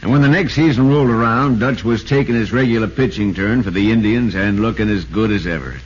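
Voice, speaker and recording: A man's voice: on the low side, fairly quick, breathy edge. A man acting an earnest, insistent baseball reporter in 1940s American radio drama style. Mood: dramatic storytelling. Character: earnest, urgent male baseball reporter telling his story. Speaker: narrator and actor in a radio drama. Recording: muffled, noisy vintage radio recording.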